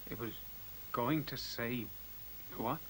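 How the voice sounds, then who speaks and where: A man's voice: medium pitch, slow pace, fairly bright tone, rough recording. Dazed, preoccupied man. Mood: dazed, distracted. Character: dazed, preoccupied man fixated on a lost machine. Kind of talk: 1970s radio drama acting. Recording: hissy 1970s radio recording.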